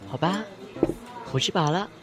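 一名男配音演员扮演爱调侃、黏人的小男孩，语气俏皮。. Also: male voice, on the high side, expressive, moderate pace, lightly acted. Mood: teasing. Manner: teasing, playful. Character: cheeky young boy, teasing, clingy. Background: loud music.

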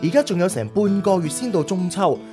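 High-voiced, excitable young sidekick. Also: male voice, brisk, over music. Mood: eager, chatty. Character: excitable, chatty young male sidekick, often hungry. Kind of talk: Cantonese radio drama acting.

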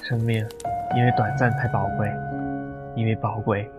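A man playing a gentle, awkward, conscientious stranded traveler in a sci-fi radio drama. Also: on the low side, flat delivery, normal speed, muffled recording, lightly acted. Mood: gentle, subdued. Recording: music bed under the voice.

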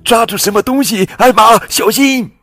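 A young joker elephant yelling in alarm, voice jumping far up into a strained shriek and loud, as a man puts him on. a children's story read aloud with faint music under it. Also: on the high side, lively intonation, moderate pace, no echo, studio quality, pitched above the performer's own voice. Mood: panicked shouting. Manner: shouting in alarm.

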